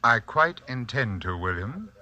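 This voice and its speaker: Sinister, suave, silkily expressive uncle. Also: male voice, mid-range voice, a little slow, highs cut off, exaggerated voice. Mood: veiled menace. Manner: soft-spoken, formal, suave, condescending. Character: sinister, composed, polite mystic uncle back from India. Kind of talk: 1940s radio drama acting. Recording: vintage 1940s radio recording.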